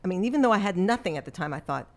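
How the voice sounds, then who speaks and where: A woman's voice: on the low side, animated, racing. A middle-aged woman, a songwriter guest, chatting casually in an interview and telling stories with frequent laughs and restarts.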